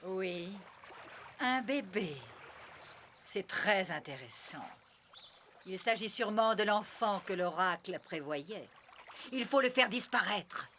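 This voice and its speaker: An actor voicing an ominous, insinuating royal seer in an animated film dub, with a measured, formal delivery. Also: mid-range voice, slight background noise. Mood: sly, suspicious.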